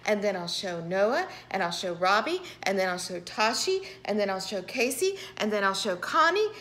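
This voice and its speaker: A woman voicing an excited, chattering preschool girl from a storybook. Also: mid-range voice, moderate pace. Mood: excited, eager.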